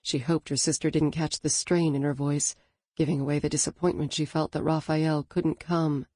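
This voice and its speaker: Woman narrator, calm storytelling, low pitch, dry close studio mic. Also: normal speed, no room sound. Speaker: audiobook narrator. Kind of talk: scripted narration of a novel. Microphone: studio microphone.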